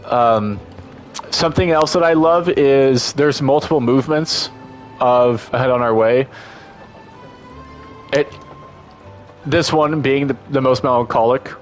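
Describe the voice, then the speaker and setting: A man's voice: mid-range voice, slow, long pauses, soft background music. A congested man giving casual, enthusiastic gameplay commentary as a streamer, rambling and restarting his sentences often.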